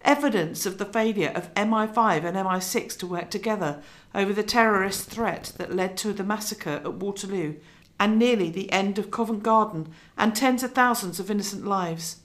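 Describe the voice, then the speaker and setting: An actress playing a resolute investigative TV journalist in a radio drama, presenting in a measured, emphatic way. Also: female voice, medium pitch, lightly acted. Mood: resolute, serious. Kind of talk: scripted documentary presenting within radio drama.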